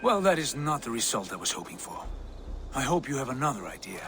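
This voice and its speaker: An actor playing a composed Viking leader in a video game, with a dry, understated manner. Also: male voice, medium pitch, very animated, brisk, a bit bright, soft background music. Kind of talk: video game cutscene acting.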